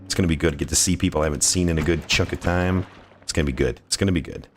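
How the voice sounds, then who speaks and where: A man's voice: rumbling low, very fast, slight background noise. A man livestreaming a game, chatting casually and playfully with his viewers, effusive and prone to repeating himself. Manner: effusive, playful, repeats phrases, goofy asides. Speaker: livestreamer playing a game and greeting chat.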